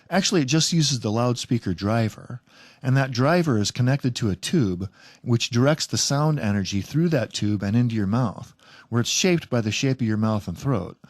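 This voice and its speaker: A man's voice: mid-range voice, no room sound, studio quality. A man presenting a casual DIY tutorial in a plain-spoken, lightly wry way. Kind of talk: casual how-to narration.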